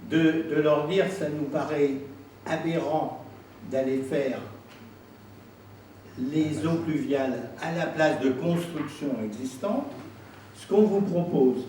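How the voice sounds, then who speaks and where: The voice reaches a distant microphone in a very echoey room with faint noise. It is a man with a slightly high pitch, answering at a council meeting. He explains his case insistently and deliberately, without a script. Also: mellow. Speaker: president of an intercommunal council answering questions.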